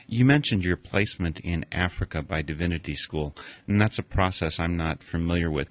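A male interviewer with a very low voice asks his guest calm, curious questions. The sound is dry and close, with no room around it. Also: no echo. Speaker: interviewer, radio host.

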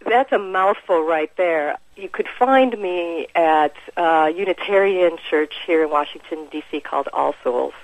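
A woman's voice: fairly low pitch, a little slow, few pauses, no room sound, poor audio. An American woman, a musician, speaking casually and informally as an interview guest. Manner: chuckles often, informal.

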